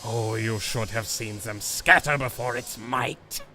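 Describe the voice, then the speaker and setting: An actor playing a proud, confident German baron and war officer, suave and commanding with a German accent in a radio drama. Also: male voice, mid-range voice, steady tone, a little slow, bright, forceful tone. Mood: smug, triumphant. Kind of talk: radio adventure drama acting.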